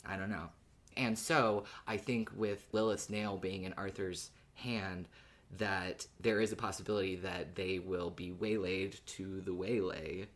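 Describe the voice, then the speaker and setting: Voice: sits low, steady tone, measured pace. Chatty podcast panelist, informal talker. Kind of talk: casual fan discussion.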